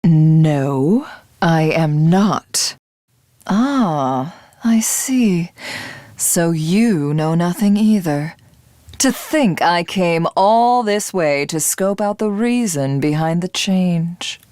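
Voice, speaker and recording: An actress playing a haughty, vengeful alien warlord in a video game, speaking in formal, mocking, theatrical lines. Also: female voice, on the low side, animated, drawn-out words, dark tone, no echo, clean studio sound. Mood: haughty, musing. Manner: imperious, mocking, formal archaic diction. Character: haughty, vengeful alien warlord, a self-styled living god. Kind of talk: theatrical game villain monologue.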